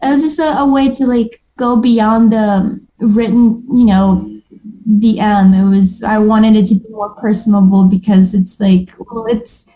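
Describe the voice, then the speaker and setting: A woman's voice: medium pitch, steady tone, unhurried, warm tone, poor audio. A woman, chatting as an interview guest and laughing often. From Moldova. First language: Moldovan and Russian. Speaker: guest artist. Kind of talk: casual conversation.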